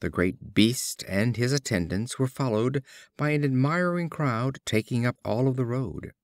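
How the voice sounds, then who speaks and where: Animated male storybook narrator. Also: medium pitch, a little slow, dry recording, clean studio sound. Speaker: audiobook narrator. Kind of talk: scripted storybook narration of fables.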